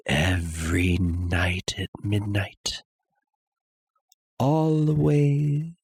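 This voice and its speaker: A breathy, husky madman confesses in a gothic horror tale, acted out by a male narrator. He speaks extremely slowly, with long theatrical pauses, and his pitch swings widely as he insists on his sanity. The sound is close and dry. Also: dry recording, full character voice. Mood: hushed, stealthy. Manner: halting, theatrical, with long pauses and sudden swells. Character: obsessed madman confessing a murder, insisting he is sane. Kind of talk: dramatic reading of a classic horror story.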